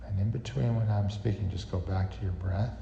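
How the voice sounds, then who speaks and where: A man leading a guided meditation, giving unhurried, soothing instruction with long pauses. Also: lowish voice, creaky, warm tone, slight background noise. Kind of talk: guided meditation with astrology teaching. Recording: reverberant echo, slightly muffled, music behind. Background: music.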